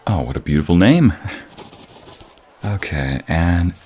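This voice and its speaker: A man playing a nervous, gentle, lovestruck concert violinist in an intimate ASMR roleplay, with hesitant, breathy asides and stammers. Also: sits low, animated, takes it slowly, big-room sound, a little hiss or hum. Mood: gracious, shy.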